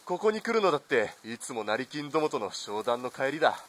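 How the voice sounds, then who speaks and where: A man's voice: medium pitch, big pitch swings, brisk, a bit bright. A man playing a corrupt, callous army lieutenant colonel in a radio drama, haughty and commanding. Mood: smug, commanding.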